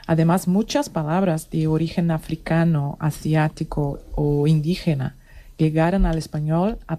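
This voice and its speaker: A woman adult student explaining in a steady, matter-of-fact way. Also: sits low.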